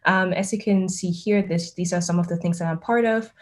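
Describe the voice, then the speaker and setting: A woman's voice: on the low side, fairly even intonation, a little fast. Chatty young woman from Germany. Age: young adult. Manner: chatty, many "like" and "um" fillers. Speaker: student panelist. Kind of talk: casual self-introduction. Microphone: video call.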